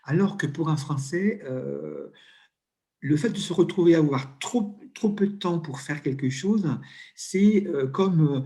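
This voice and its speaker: A man's voice: on the high side, big pitch swings, measured pace, mellow. Hesitant Italian man speaking French. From Italy. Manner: hesitant, many fillers and restarts. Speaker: interview guest, coach and HR consultant. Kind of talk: conversational interview.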